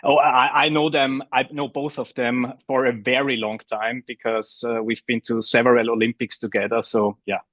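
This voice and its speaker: A man's voice: medium pitch, level tone, normal speed, hardly any pauses, fairly bright tone, no room sound. A man from Austria, a guest chatting fluently and easily on a podcast. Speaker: guest, sports DJ. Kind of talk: casual interview chat.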